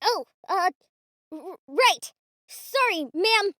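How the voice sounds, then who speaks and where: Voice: expressive, drawn-out words, bright, forceful tone, dry recording, studio quality, exaggerated voice. An actor voicing a small, earnest boy protector, formal and eager, in an audio fantasy drama. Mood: sheepish. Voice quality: small, high childlike voice. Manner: formal, eager, a little flustered.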